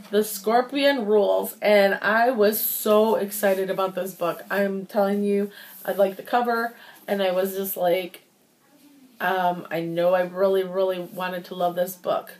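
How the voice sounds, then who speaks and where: Woman casually rambling through book reviews. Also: mid-range voice. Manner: informal and rambling, thinking aloud, repeating words for emphasis.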